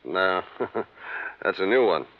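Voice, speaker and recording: Sharp thirtysomething company man, guarded, lowish voice, old dull radio sound. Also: lively intonation, slow. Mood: cool, guarded. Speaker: radio actor. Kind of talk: 1950s radio drama acting. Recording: band-limited vintage radio recording.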